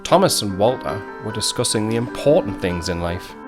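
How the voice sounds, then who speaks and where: A man's voice: on the low side, animated, normal speed, music in the background, lightly acted. A man playing a wry narrator, telling a 1919 tale in a dramatic storytelling style for an audio drama.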